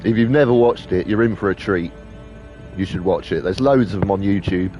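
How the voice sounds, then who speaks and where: A man talks casually and crudely over a game, joking and swearing. His voice is on the low side. Faint game music runs beneath him. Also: fairly quick, mellow. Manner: sweary, jokey, mocking, laughs often. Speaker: gaming commentator. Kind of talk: casual gameplay commentary.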